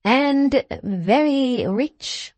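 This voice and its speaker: A woman's voice: sits high, animated, darkish tone, dry recording, voice pushed up, heavily acted. Woman doing a pompous condescending Englishman. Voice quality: pompous, over-slow and over-explaining. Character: pompous condescending middle-aged Englishman, slow and loud.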